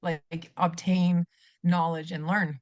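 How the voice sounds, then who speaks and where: A woman's voice: lowish voice, little pitch movement, takes it slowly, no room sound. A middle-aged woman from Canada, a former teacher, speaking earnestly as a podcast guest and often restarting her sentences. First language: German. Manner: earnest, reflective, many restarts and fillers. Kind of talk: conversational interview.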